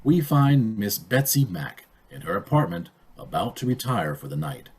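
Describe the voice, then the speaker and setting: A man reads as an old-time radio drama announcer. His voice is fairly low and husky, with formal, theatrical phrasing. The pitch rises and falls dramatically. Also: expressive, moderate pace.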